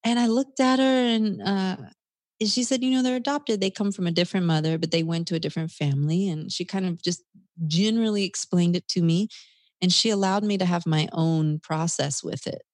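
Low-voiced woman, closely recorded and dry. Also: fairly even intonation, fairly quick, dry recording. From United States, South Carolina. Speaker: interview guest. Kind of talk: reflective personal storytelling in conversation.

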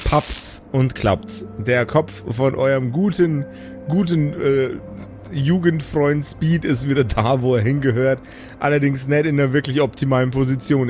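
Man, game master, average pitch, improvised narration, joking casual delivery, light background music. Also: darkish tone. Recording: music under the voice.

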